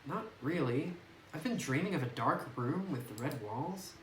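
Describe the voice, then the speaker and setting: A man voicing a gentle, wistful young woman in a visual novel, reading her lines politely over a phone microphone. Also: on the high side, expressive, normal speed, close to an everyday voice. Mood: wistful, curious. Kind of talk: read-aloud visual novel dialogue. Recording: roomy, noisy distant phone microphone.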